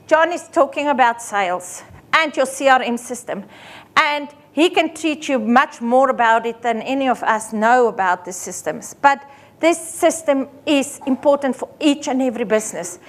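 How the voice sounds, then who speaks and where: A middle-aged woman with an Afrikaans accent, an accountant giving a lively seminar presentation full of everyday examples. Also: high-pitched. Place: seminar room.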